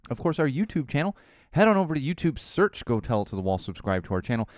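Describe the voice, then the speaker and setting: A man in his thirties from Southern California, hosting a casual solo podcast, a bit hoarse, rambling and self-deprecating, with lots of fillers and restarts. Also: medium pitch, big pitch swings, fast talker, a little mellow, dry recording, studio quality. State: slightly hoarse. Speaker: podcast host.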